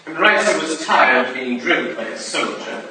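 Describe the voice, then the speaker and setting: A man's voice: on the high side, lively intonation, normal speed, lightly acted. A man playing a boastful, drunken upper-class English rake in a staged period drama, with a breezy, confiding swagger. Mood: self-important. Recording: echoey room from far off, noisy.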